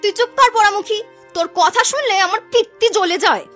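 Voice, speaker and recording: A woman voicing a spoiled, sharp-tongued young granddaughter who sneers and talks back in an animated folk tale. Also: very high-pitched, fast talker, soft background music. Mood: spiteful. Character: spoiled, insolent, ambitious young village granddaughter.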